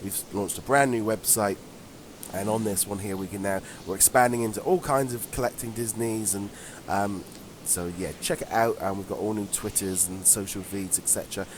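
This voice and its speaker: A man's voice: fairly low pitch, fairly even intonation, normal speed, noisy background. Congested man co-hosting a chat show. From England. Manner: chatty, laughs often, frequent ums. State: congested from a head cold. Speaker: podcast co-host. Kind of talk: casual chat.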